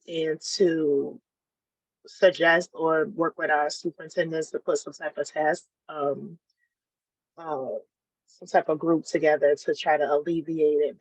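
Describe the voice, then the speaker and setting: A woman on dry, slightly muffled meeting audio. She speaks tentatively and haltingly, as a school board member putting a question to colleagues. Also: low-pitched, a little mellow, breathy edge, no echo.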